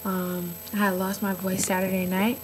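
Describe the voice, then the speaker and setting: A woman's voice: mid-range voice, little pitch movement, normal speed, slight background noise. A young woman chatting casually to her webcam at home, with many ums and likes. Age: young adult. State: slightly hoarse. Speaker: vlogger. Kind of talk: casual vlog chat. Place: bedroom at home, indoors. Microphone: webcam built-in mic, close.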